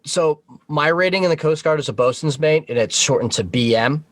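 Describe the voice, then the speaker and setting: A man's voice: on the high side, fairly quick. A man talking informally as a podcast guest, explaining things in a conversational way.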